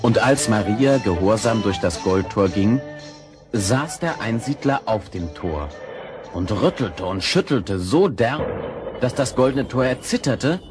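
A man narrating a fairy tale in an expressive storytelling style. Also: lowish voice, unhurried. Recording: old audio play with music.

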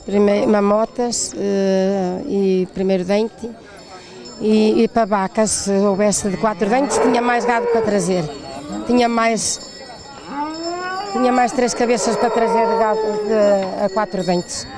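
A woman's voice: mid-range voice, unhurried, loud music behind the voice. A middle-aged woman with a rural northern Portuguese accent, a plain-spoken cattle breeder repeating herself in a casual outdoor interview. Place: outdoors by a stone wall. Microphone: handheld interview microphone.

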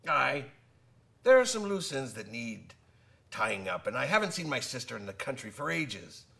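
A man playing a weathered, kindly old sea captain in a radio drama, with a gravelly voice and folksy, salty sailor talk. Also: medium pitch, very animated, moderate pace, fairly bright tone. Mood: weary, fatherly. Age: older. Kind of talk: theatrical radio drama acting.

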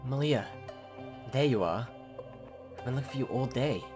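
A woman's voice: rumbling low, lively intonation, normal speed, a little mellow, soft background music. A woman acting an earnest, troubled young male werewolf in an amateur game roleplay. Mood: pleading.

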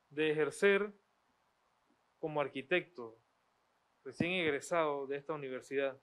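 A middle-aged man leads a university talk, his voice sitting a little high. He reads guest introductions formally and paces his questions with frequent pauses, speaking into a handheld mic in a small studio. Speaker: moderator of a university panel. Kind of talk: reading guest introductions, then moderating. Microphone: handheld microphone.

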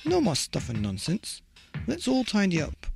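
A man voicing a plucky, bossy little male mouse with a brisk, no-nonsense manner in a storybook. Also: high-pitched, huge pitch swings, creaky, darkish tone, soft background music, voice pushed up, full character voice. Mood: firm.

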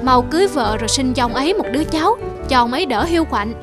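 A woman voicing a modest, kindly old man next door, plain-spoken and advising, in an animated moral drama. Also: high voice, quick pace, over music. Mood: friendly advice.

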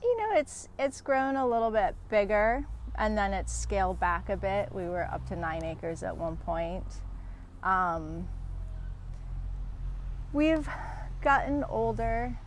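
A woman in her forties, a farmer, answering interview questions outdoors in a garden in a relaxed, candid way. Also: medium pitch, slow, slight background noise. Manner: relaxed and candid, with fillers and small laughs. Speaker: guest farmer.

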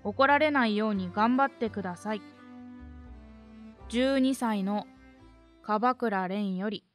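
A woman's voice: mid-range voice, takes it slowly, faint music behind, natural voice. A woman acting an earnest twelve-year-old schoolboy in a voice drama, speaking in a plain boyish way. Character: earnest twelve-year-old boy, a sixth-grade pupil. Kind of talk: voice drama acting, letter reading.

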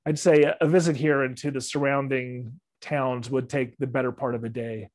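A man's voice: medium pitch, fairly even intonation, normal speed, a little mellow, no echo. A middle-aged man giving a friendly, loosely worded travel talk with slides over a video call. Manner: friendly, loose, with fillers and restarts. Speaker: library travel club presenter. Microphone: video call webcam.

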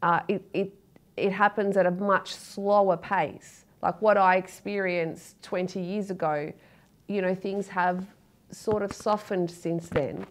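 An Australian woman in her thirties talks about her work in a TV studio interview. She sounds earnest and open, often pausing on fillers and restarting phrases. A lapel mic keeps her voice close and clean. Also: medium pitch, steady tone. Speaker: poet, interview guest. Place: dark TV studio, seated in a chair.

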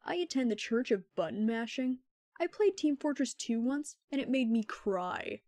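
A woman playing a sarcastic, laid-back young man with a deadpan, wry manner in a fan fiction podcast. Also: on the high side, moderate pace, no echo, natural voice.

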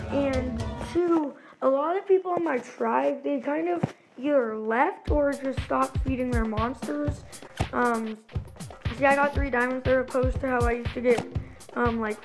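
A woman's voice: sits high, rough recording. A young woman hosting a gaming channel, rambling through a casual voice-over with many ums and restarts. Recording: loud game music behind. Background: loud music.

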